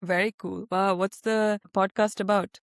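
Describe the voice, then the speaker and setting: Woman hosting a podcast, smooth clear voice, pretty flat, lots of "you know". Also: medium pitch, a little slow, no echo, studio quality. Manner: warm interviewer, many fillers, relates to the guest. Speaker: podcast host. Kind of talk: casual interview chat.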